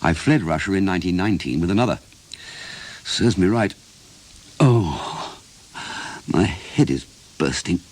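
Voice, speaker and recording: An actor playing a beleaguered, fretful writer in a radio play. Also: male voice, low-pitched, lively intonation, measured pace, pauses often, a little mellow. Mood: wistful, wry. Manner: fretful, wry, self-pitying. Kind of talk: radio play acting and reflective narration.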